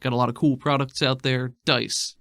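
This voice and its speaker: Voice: medium pitch, flat delivery, normal speed, a bit bright, no echo. A game master and podcast host, improvising tabletop narration and chatting casually.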